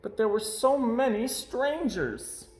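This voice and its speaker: Casting: earnest little storybook sister bear acted by a man, high and swooping, cautious, echoey room. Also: lively intonation, slow, full character voice. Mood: curious, unsure. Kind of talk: children's picture book read-aloud.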